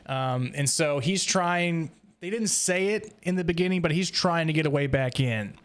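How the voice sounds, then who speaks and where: A man hosting a podcast, casually recapping and discussing a story in an animated way. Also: fairly high pitch, normal speed, smooth. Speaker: podcast host.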